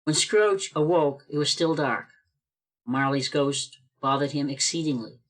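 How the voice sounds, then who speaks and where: Higher-pitched male storyteller. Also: a little slow, rough recording. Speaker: narrator. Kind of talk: scripted storytelling narration for a radio play.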